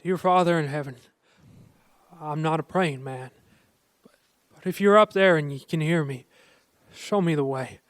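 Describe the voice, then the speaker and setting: A man performs a small-town family man in despair, wry and bitter, for a radio play. The voice is high and clear, recorded very dry. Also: normal speed, pauses often, no echo, natural voice. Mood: desperate. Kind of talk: live radio play acting.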